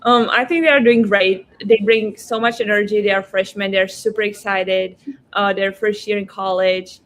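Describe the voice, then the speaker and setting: A woman's voice: mid-range voice, steady tone, normal speed, fairly bright tone. A young woman with a Czech accent, a basketball player guesting on a podcast, giving short, plain answers. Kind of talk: casual interview chat.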